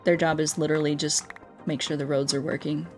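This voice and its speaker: Young American female streamer, slightly low voice, informal and rambling storytelling delivery, faint music behind. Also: level tone, fairly quick. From United States. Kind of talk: casual livestream chat while gaming. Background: background music.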